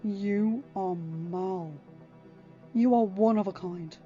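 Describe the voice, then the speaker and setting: A woman voicing an earnest, protective young king, speaking gently but emphatically to reassure someone in a story. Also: medium pitch, slow pace, muffled recording, soft background music, natural voice. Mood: firm, reassuring.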